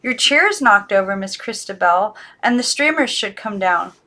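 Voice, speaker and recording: A woman voicing a frightened young girl who coaxes, then desperately shouts at her dolls. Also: mid-range voice, lively intonation, normal speed. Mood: coaxing. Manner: coaxing, then frantic and shouting.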